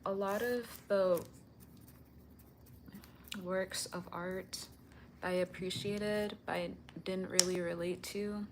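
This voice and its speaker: Soft-voiced young woman chatting on stream. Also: fairly low pitch, fairly even intonation, slow pace, pauses often, noisy background. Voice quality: soft, sweet-toned voice. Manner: chatty, reads out and answers viewer comments. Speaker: livestreamer. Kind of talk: casual livestream chat while animating.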